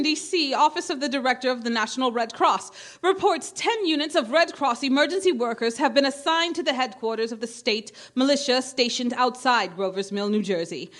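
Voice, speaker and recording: An actress playing a grave, formal news announcer in a radio drama, reading bulletins in a measured way. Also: female voice, high-pitched. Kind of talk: stage radio drama acting as newscaster.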